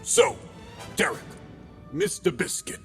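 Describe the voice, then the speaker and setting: A man's voice: slow, fairly bright tone. A man playing a pompous, sentimental crab detective who narrates his log in a grandiose, melodramatic comedy audio drama style. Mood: dramatic narrating. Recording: music behind the voice.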